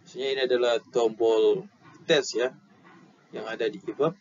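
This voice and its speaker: A young man with an Indonesian accent giving an informal slide lesson as an instructor, explaining haltingly and repeating key terms into a headset. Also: medium pitch, steady tone. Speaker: maritime safety instructor. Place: indoor room. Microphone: headset at a computer. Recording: dull lo-fi audio with clear background noise.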